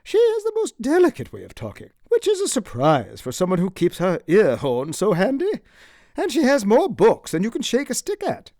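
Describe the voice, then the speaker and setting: Man voicing a gossipy older aunt, swooping high. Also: wildly swooping pitch, normal speed, dark tone, no room sound, clean studio sound, exaggerated voice. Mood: gushing. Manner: exclamatory, folksy, gushing. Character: chatty, blunt, plump older aunt, gossipy. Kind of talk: audiobook character acting.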